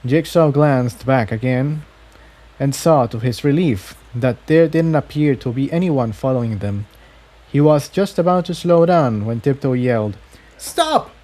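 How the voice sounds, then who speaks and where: A man's voice: medium pitch, moderate pace, warm tone. A man narrating a scripted story aloud, occasionally stumbling and repeating phrases as he reads. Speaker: fan fiction reader.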